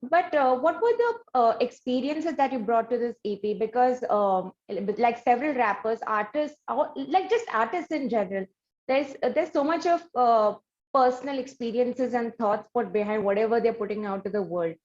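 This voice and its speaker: Female interview host, Indian accent, somewhat high voice, conversational delivery, slightly muffled recording. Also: expressive, normal speed, a little mellow.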